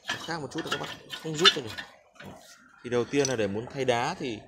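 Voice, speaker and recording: A man with a Northern Vietnamese accent giving an informal, halting hands-on product demonstration as a seller, often repeating words. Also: mid-range voice. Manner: halting, repeats words while handling parts. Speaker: machine seller demonstrating grinder parts. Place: hard concrete floor workspace. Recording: loud clanking metal noise, handheld phone. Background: metal parts clinking and clattering.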